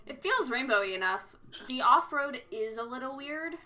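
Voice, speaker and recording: A woman's voice: high-pitched, animated, moderate pace, pushed and bright. A woman with a scratchy voice, chatting casually and agreeably while editing a script. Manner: laughs easily, agreeable.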